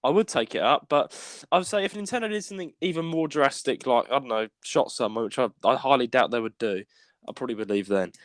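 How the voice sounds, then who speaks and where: A man co-hosting a casual podcast, stammering and restarting often, with a sore throat he keeps clearing. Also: mid-range voice, fast, no room sound. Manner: stammering, restarts often, laughs while talking. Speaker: podcast co-host. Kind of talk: casual chat. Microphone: podcast microphone.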